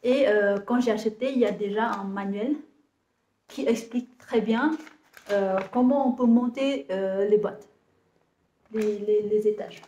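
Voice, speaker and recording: A woman in her thirties from Vietnam, speaking French with a Vietnamese accent, describing her compost business to camera and often pausing on fillers and restarts. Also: mid-range voice, mellow, roomy sound. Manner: hesitant, many fillers and restarts. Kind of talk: casual talk to camera, halting.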